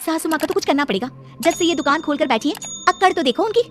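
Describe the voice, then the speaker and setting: High-pitched scheming young daughter-in-law played by a woman. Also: animated, fast, a bit bright, soft background music. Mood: indignant. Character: scheming, wheedling young daughter-in-law, indignant when refused. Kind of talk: cartoon story dialogue.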